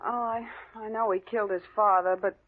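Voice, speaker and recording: A woman's voice: medium pitch, steady tone, a little fast, lightly acted. An actress playing a detective's curious, sympathetic female companion, playful, in 1940s radio drama style on a tinny old recording.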